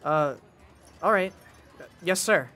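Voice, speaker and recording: A woman's voice: on the low side, lively intonation, slow, fairly bright tone, faint music behind. A woman playing an earnest, polite young bellboy in a 1920s-style radio drama, speaking in a hesitant, eager, deferential way. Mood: hesitant, nervous.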